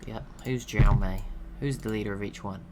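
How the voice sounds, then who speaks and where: A young man giving relaxed, chatty commentary over a strategy game he is watching, laughing to himself now and then. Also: lowish voice, normal speed, background noise. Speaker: gaming channel host.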